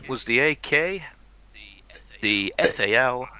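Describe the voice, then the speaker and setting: A man's voice: medium pitch, lively intonation, slow, pushed and bright, highs cut off. A young man chatting casually with friends over gaming voice chat and quizzing them.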